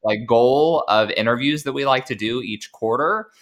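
A man's voice: medium pitch, normal speed, fairly bright tone. A man guesting on a podcast over a call, chatting casually about his business with lots of fillers. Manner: chatty, informal, frequent "like" and "um". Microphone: remote call.

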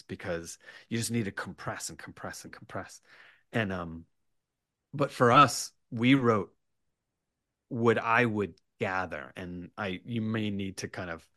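A man speaking as a writer guest in a casual interview, rambling and animated, often hesitating and correcting himself. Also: fairly low pitch, no echo, clean studio sound. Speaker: podcast guest, horror writer.

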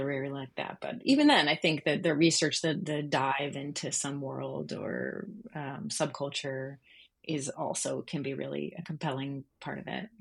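Lower-voiced woman in conversational interview. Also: creaky, fairly bright tone, slightly rough. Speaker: guest, freelance journalist.

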